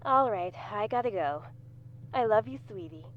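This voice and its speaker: A woman's voice: mid-range voice, animated, normal speed, a little hiss or hum, natural voice. A woman playing an affectionate middle-aged mother in a visual novel game, sweet and coaxing on a phone call.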